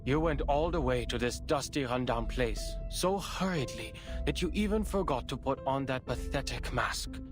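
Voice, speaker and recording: A man's voice: mid-range voice, animated, moderate pace, hardly any pauses, close to an everyday voice. An actor playing a world-weary human man in a game, dry and sarcastic. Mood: sardonic. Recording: game audio with music behind.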